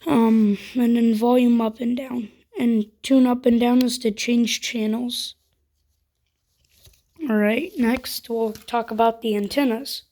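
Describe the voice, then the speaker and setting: A woman with a fairly high voice gives a casual, hands-on product demonstration. She explains each step informally, as a reviewer showing how things work. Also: steady tone, takes it slowly, mellow.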